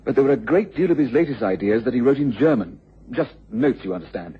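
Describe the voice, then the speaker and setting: A polite schemer, muffled radio drama. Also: male voice, medium pitch, lively intonation, fast, slightly rough. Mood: defensive. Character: smooth-talking, scheming scientist's assistant and husband. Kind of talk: radio mystery drama acting. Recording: muffled radio recording with background noise.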